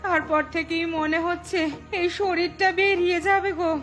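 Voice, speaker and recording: A woman's voice: extremely high pitch, fairly even intonation, moderate pace, a bit bright, soft background music. A woman voicing an ailing, remorseful village shopkeeper's wife in a moral cartoon, speaking in a plaintive, pleading way. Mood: plaintive, suffering. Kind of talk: Bengali moral cartoon acting.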